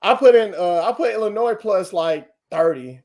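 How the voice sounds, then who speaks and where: A man co-hosting a casual podcast, telling animated stories and trash-talking his friends in slangy banter. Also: sits high, moderate pace, crowd noise. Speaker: podcast co-host.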